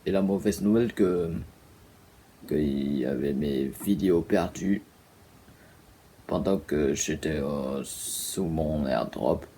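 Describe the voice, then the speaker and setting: A man with an extremely deep voice and heavy vocal fry narrates haltingly. His sentences break off and restart around frequent fillers. A faint noise sits behind him. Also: mellow. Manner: hesitant, full of fillers and broken sentences. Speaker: vlogger. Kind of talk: casual video narration.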